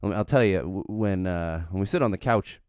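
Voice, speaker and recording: A man hosts his podcast alone, his low voice slightly nasal from an ear infection. He talks in a loose, candid ramble, stopping to correct himself. The sound is smooth and dry, close on a studio mic. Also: normal speed, darkish tone, dry recording. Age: adult, married father. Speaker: podcast host. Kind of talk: casual solo podcast talk. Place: home studio. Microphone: studio microphone with headphones.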